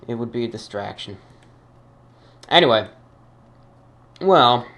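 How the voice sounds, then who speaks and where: A man's voice: medium pitch, a bit bright. Formal man reading listener emails. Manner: formal, measured, halting delivery. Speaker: host reading fan mail. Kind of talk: radio-style monologue reading emails.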